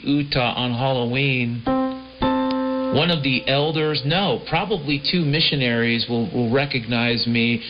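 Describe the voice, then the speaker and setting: A man delivering a rambling, improvised comic monologue as a radio host, with a theatrical, mock-prophetic storytelling manner. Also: medium pitch. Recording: dull lo-fi shortwave radio recording. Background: prominent piano and keyboard music.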